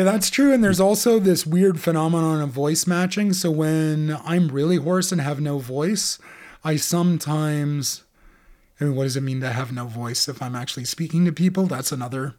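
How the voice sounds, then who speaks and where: A man's voice: fairly high pitch, a little mellow. A man, an author being interviewed, explaining academic ideas in a thoughtful, conversational way with frequent fillers.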